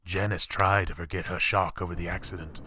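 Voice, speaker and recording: A man's voice: deep voice, steady tone, brisk, a little hiss or hum. A man narrating a scripted horror story with dramatic storytelling delivery.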